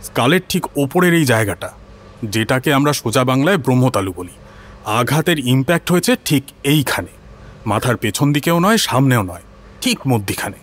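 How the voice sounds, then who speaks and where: A man's voice: medium pitch, big pitch swings, a little fast. A man acting an assured forensic doctor in an audio drama, explaining things in a precise clinical way. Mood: explanatory.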